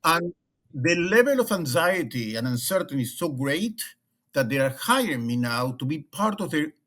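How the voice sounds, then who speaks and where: A man's voice: fairly high pitch, big pitch swings, normal speed, fairly bright tone. A middle-aged man from Argentina with an Argentine Spanish accent, speaking as an interview guest and often restarting and repeating words. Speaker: guest, leadership consultant. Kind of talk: conversational interview.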